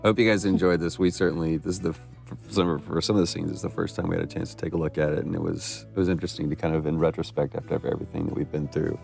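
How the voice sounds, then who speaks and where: A man giving earnest, reflective commentary on scenes he performed, speaking haltingly. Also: rumbling low, level tone, gravelly, a little mellow, soft background music.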